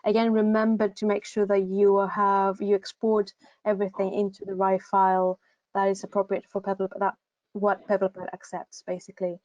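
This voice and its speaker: A woman's voice: mid-range voice, monotone, normal speed, a little mellow, no room sound, lo-fi sound. A Greek woman with a Greek accent, speaking English as a second language, gives casual advice for a university recruitment session. She hesitates often, with many fillers and restarts. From Greece.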